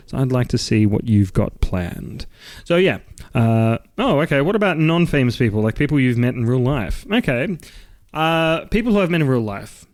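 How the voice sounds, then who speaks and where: Deep-voiced man chatting casually. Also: sing-song, moderate pace, a little mellow. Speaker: podcast co-host. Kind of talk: casual livestream chat.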